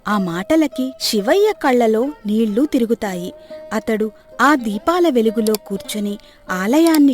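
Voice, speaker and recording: A woman's voice: on the high side, a little mellow. A woman reading scripted narration for a moral story.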